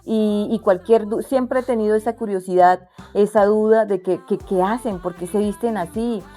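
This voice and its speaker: A woman's voice: mid-range voice, fairly even intonation, normal speed, dark tone, faint music behind. A young Wayuu woman from La Guajira, Colombia, giving an interview about her craft business. She speaks earnestly, with frequent restarts. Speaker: interviewee, artisan entrepreneur. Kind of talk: casual interview.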